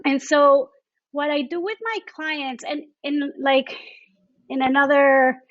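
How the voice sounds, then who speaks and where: A Mexican-accented woman with a high, bright-toned voice speaks as a podcast guest. She is effusive and enthusiastic. The sound is dry and slightly muffled. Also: fairly even intonation, slow pace, dry recording. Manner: effusive, enthusiastic, repeats "I love". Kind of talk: conversational interview.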